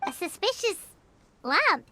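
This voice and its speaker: Tiny childlike game sidekick: piercingly high, bright, excitable, greedy fairy. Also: female voice, takes it slowly, a little hiss or hum, exaggerated voice. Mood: puzzled. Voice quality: squeaky, childlike. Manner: excitable, exclamatory. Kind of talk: anime video game voice acting.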